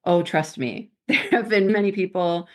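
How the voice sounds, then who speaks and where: A woman's voice: lowish voice, moderate pace, darkish tone. A woman guest on a podcast, chatting casually about farm life, laughing often and trailing off mid-sentence. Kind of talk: casual chat.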